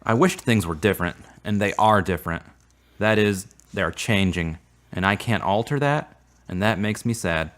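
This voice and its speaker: A man's voice: on the low side, normal speed, fairly bright tone, close to an everyday voice. A man playing an earnest, devout character saddened by a fading romance in a radio drama, speaking plainly and sincerely. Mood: earnest, wistful.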